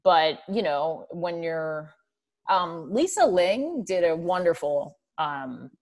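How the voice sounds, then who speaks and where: A woman in her early thirties speaks in a fairly low voice over a video call from home, wearing headphones. Her manner is relaxed and thoughtful, with the odd casual swear. She is a comedian guesting on a podcast. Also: slow pace. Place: bright room at home, indoors. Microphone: over-ear headphones on a video call.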